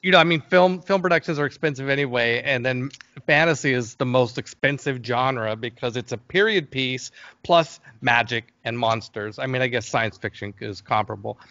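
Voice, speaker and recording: A man's voice: medium pitch, moderate pace, pushed and bright, no echo, dull audio. A middle-aged man chatting casually as an interview guest, often stopping and restarting his sentences. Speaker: interview guest, fantasy film producer.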